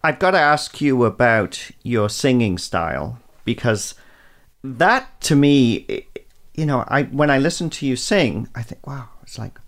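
A man's voice: medium pitch, lively intonation, unhurried. A man hosting a radio interview, asking enthusiastic questions and often searching for his words. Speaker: radio host.

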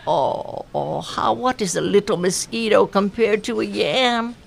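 A woman's voice: unhurried, creaky, slightly rough, exaggerated voice. A woman voicing a grumpy iguana who moans wearily, in a told folktale. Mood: moaning. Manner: moaning, low and weary. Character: grumpy iguana who loves quiet mornings.

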